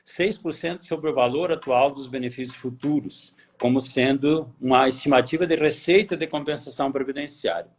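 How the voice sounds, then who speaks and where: A man's voice: mid-range voice, breathy edge. A man from Brazil, a pension auditor, explaining calculations in a lecture, often asking himself rhetorical questions and rephrasing as he goes. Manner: rhetorical questions, pauses to reformulate.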